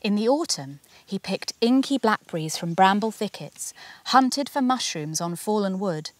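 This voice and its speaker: Woman reading kids picture book, really animated intonation, dry recording. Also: medium pitch, normal speed, dry recording. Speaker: author reading their own picture book. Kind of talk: children's storybook narration.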